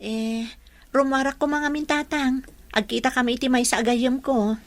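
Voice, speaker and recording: A woman playing a soft-hearted, secretive young daughter in a radio drama, plaintive and apologetic, with an Ilocano accent. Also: high voice, steady tone, normal speed, dry recording. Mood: hesitant, polite. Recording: music bed behind the voice.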